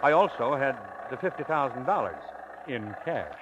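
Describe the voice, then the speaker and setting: A man's voice: medium pitch, sing-song, normal speed, highs cut off, noisy background, close to an everyday voice. An actor playing a genteel older con-man professor in an old radio suspense drama, avuncular and wordy, given to flustered stammering. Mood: grave confession.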